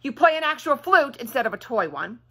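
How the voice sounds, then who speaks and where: A woman's voice: high-pitched, very animated, brisk, fairly bright tone, husky, full character voice. A woman voicing a bossy, fierce young girl from a children's storybook, demanding and indignant. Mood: accusing.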